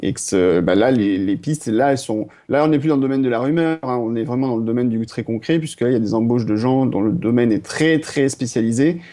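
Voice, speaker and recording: A man's voice: medium pitch, fast talker, hardly any pauses, warm tone. A man on a tech panel who gives long, rambling explanations peppered with fillers. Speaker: panel guest. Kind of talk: casual panel discussion.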